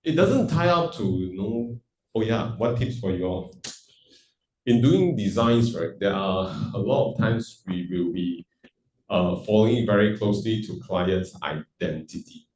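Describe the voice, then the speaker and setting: A man's voice: lowish voice, animated, a little slow, darkish tone, roomy sound, distant mic, rough recording. A man hosting a question-and-answer session at a live event, chatty and joking, switching between languages. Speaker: event host. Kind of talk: casual Q&A hosting with jokes. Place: event hall.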